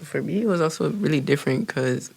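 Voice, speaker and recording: Teen boy, native Spanish speaker, heavy vocal fry, sheepish and self-mocking. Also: on the high side, lively intonation, fast talker, a little mellow. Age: teens. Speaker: student speech competitor. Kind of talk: casual chat.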